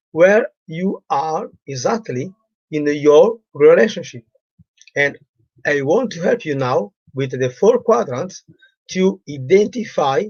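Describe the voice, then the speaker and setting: Slow, hesitant man with a cold. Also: fairly high pitch, dry recording, lo-fi sound. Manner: halting, many fillers, non-native grammar. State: has a cold and sore throat. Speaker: relationship coach. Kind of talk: live-streamed solo talk.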